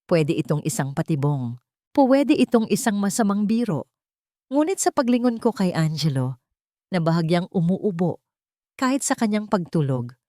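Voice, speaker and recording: Brief: female audiobook narrator, measured and dramatic, low voice, dry close mic. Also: a little mellow, no echo. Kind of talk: first-person dramatic story narration.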